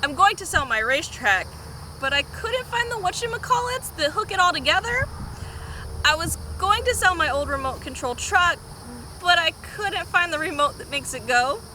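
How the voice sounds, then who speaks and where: Disorganized, easygoing schoolboy narrator for a storybook, read by a woman, high and animated. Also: moderate pace, a little hiss or hum, full character voice, rough recording. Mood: matter-of-fact. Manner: plain storybook read-aloud.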